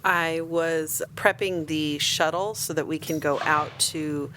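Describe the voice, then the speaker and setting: A woman's voice: low voice, fairly even intonation, slow, sharp tone, a little hiss or hum, exaggerated voice. A woman playing a level-headed, probing starship scientist in a tabletop game, matter-of-fact and precise. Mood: practical.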